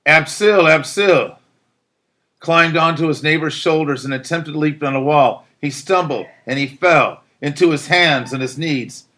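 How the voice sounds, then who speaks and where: A man's voice: a little high, a little slow, pushed and bright. A man reading a narrative aloud as a teacher would, in a dramatic, emphatic storytelling style. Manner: theatrical, emphatic delivery.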